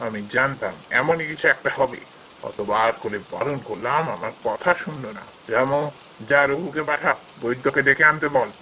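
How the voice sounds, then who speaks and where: A man plays an elderly village landlord from a cartoon folk tale. He speaks in a measured, paternal way, generous yet firm, at a middling pitch with faint noise behind him. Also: pushed and bright, close to an everyday voice, low-quality recording. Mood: concerned. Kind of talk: Bengali fairy-tale cartoon dialogue.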